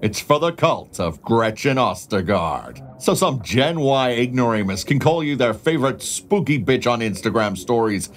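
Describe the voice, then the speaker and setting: A man's voice: mid-range voice, sing-song, normal speed, hardly any pauses, a little hiss or hum, lightly acted. A man playing a sardonic, exasperated TV news boss in an audio drama, scolding the staff. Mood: scathing. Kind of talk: naturalistic audio drama acting.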